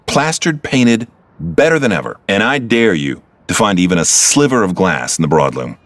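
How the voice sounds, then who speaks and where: An actor playing a smug, condescending criminal mastermind in an audio drama, with a suave, theatrical delivery that stretches out words. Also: male voice, lowish voice, big pitch swings, normal speed, fairly bright tone, no room sound. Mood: mocking, amused.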